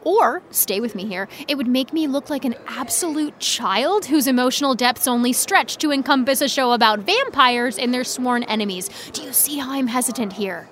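A woman playing a guarded, self-deprecating high school senior in naturalistic podcast drama, with wry, defensive chatter. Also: expressive, moderate pace, hardly any pauses, a bit bright. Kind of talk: naturalistic fiction podcast acting.

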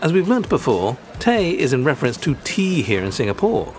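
Polished male podcast host with lively intonation. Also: medium pitch, normal speed, soft background music. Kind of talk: scripted narration explaining local slang.

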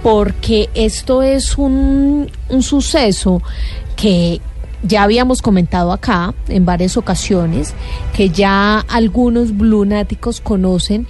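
A woman co-hosting a radio talk show, explaining the evening's program in a measured way. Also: medium pitch, a little mellow, faint music behind, rough recording. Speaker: radio co-host.

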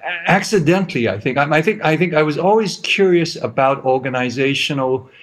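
A man in his fifties from Hong Kong with an American accent, a guest answering interview questions in a halting way, with many restarts and repeated words. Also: a little high, animated. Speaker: guest, research institute CEO.

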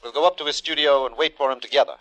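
An actor playing a concerned, gruffly practical friend, terse and earnest, in a 1950s radio drama. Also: male voice, medium pitch, racing, fairly bright tone, dull audio, close to an everyday voice. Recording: vintage 1950s radio broadcast recording.

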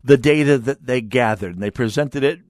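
A man's voice: mid-range voice, normal speed, a little mellow, no room sound, clean studio sound. A man hosting a talk radio show, speaking emphatically and wryly, with frequent restarts. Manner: emphatic, wry, restarts often. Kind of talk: talk radio monologue and calls.

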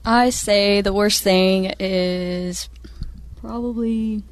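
A woman's voice: medium pitch, fairly even intonation, extremely slow. A nineteen-year-old woman, a graduating student guest, answering casually.

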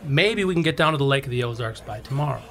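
A man's voice: mid-range voice, lively intonation, quick pace, heavy background noise, close to an everyday voice. A man playing a grumbling, self-important pro angler and impatient husband in a radio play. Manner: impatient, complaining.

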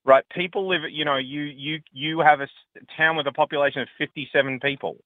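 A man's voice: a little high, fairly even intonation, a little fast, no echo. A man from Victoria with an Australian accent phoning a radio show, casually and earnestly recalling things. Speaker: radio phone-in caller. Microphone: telephone. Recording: tinny phone line.

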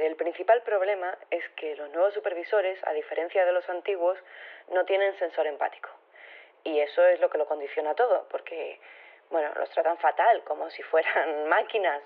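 An actress playing an earnest, flustered robotics teacher turned activist, talking hesitantly and chattily over a phone filter in an audio drama. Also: female voice, lowish voice. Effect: telephone filter. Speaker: phone-in interviewee. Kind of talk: radio interview in audio fiction. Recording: thin, narrow phone line.

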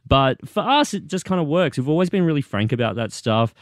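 A man's voice: a little high, very animated, fairly quick, no echo, clean studio sound. A young man from Australia, a guest telling frank, funny stories in casual chat.

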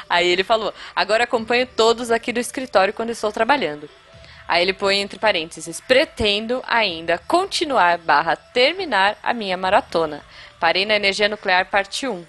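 A woman's voice: mid-range voice, animated, normal speed, fairly bright tone, soft background music. A woman hosting a podcast, reading listener emails aloud with frequent laughter. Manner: laughing often, chatty, reads emails aloud. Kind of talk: casual chat.

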